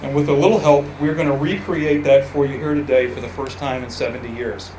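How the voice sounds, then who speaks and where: A man introducing a radio-play reenactment to a live audience in a relaxed, conversational way. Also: medium pitch, a little fast. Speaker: family member presenting the event. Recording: echoey noisy space.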